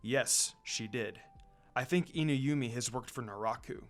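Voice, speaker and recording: A man voicing a calm young male monk who speaks in a measured way. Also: mid-range voice, faint music behind, voice pushed down.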